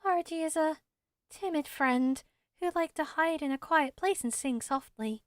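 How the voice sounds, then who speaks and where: A woman's voice: sits high, moderate pace, no room sound, studio quality, natural voice. A woman voicing a small, earnest, friendly forest spirit who acts as a helpful guide in a video game. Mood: friendly, explaining. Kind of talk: amateur video game voice acting.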